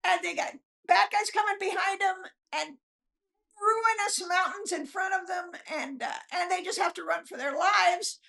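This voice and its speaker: A woman guest chatting casually and telling stories in an animated, folksy way. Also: extremely high pitch, normal speed, vocal fry. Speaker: author guest, novelist. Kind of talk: casual chat, storytelling.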